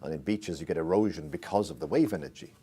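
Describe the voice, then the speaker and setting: A man in his sixties from Wales with a Welsh accent, answering interview questions in a relaxed way and repeating words as he starts. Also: low-pitched, fast, breathy edge. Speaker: ocean engineer interviewee. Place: small indoor interview room.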